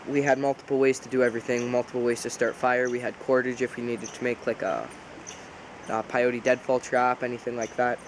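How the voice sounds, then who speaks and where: A man's voice: mid-range voice, fairly quick, a little hiss or hum. Congested man rambling outdoors. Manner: relaxed, rambling, self-correcting. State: congested with a cold. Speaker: outdoor survival vlogger. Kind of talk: casual outdoor demonstration. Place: outdoors by a pond.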